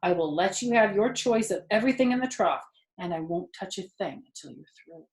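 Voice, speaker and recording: A woman's voice: medium pitch, animated, fairly quick, darkish tone, close to an everyday voice. A woman voicing an earnest, anxious young male pig in a storybook, speaking with emphatic pleading. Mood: earnest, bargaining. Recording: roomy indoor echo.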